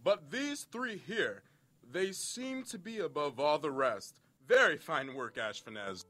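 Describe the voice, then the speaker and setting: A man playing a proud, pompous king of Babylon with drawn-out, emphatic delivery in a dramatized Bible reading. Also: a little high, very animated, bright, forceful tone, pitched above the performer's own voice, heavily acted. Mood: grandly pleased. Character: proud, booming king of Babylon, grandly pleased.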